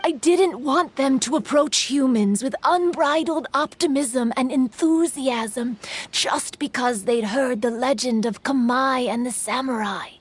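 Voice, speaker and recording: Slow, sorrowful yokai. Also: female voice, high voice, slightly rough. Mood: grave. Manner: slow, solemn, melancholy telling. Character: sorrowful, wise female yokai spirit. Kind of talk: game cutscene storytelling.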